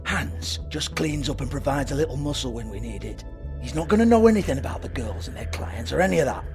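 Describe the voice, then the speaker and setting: A man's voice: mid-range voice, animated, quick pace, music in the background, natural voice. A man playing a foul-mouthed, shifty club boss in a video game, with a British accent and a sarcastic, taunting manner. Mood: evasive, irritated. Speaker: game character, shady club owner.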